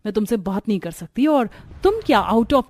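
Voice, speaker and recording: A woman's voice: on the high side, big pitch swings, moderate pace, a little mellow, no room sound. A woman voicing a snobbish, irritable city sister-in-law in a cartoon, scornful and mixing English into her Hindi.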